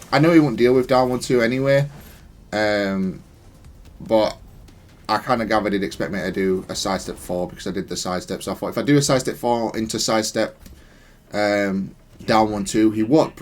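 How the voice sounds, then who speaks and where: A man giving matter-of-fact, analytical commentary on gameplay, thinking aloud in a stop-start way. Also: on the low side. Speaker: gamer explaining own match replay.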